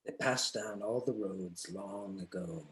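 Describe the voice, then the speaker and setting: A man's voice: on the low side, unhurried, slight background noise, lightly acted, poor audio. A man voicing a dreamy, remembering butterfly in a play table read. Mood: wistful.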